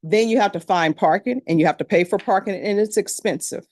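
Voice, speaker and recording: A middle-aged woman judge with a Louisiana accent talks to a jury panel in a courtroom. She speaks in a plain-spoken, conversational way. Her voice sits at an ordinary pitch and sounds close and dry. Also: fairly quick, a little mellow, dry recording.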